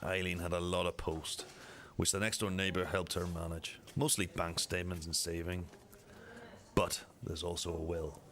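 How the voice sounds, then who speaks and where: A man acting as a methodical police detective in an amateur radio drama, with measured, formal, matter-of-fact delivery. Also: sits low, flat delivery, noisy background, lightly acted. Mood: musing.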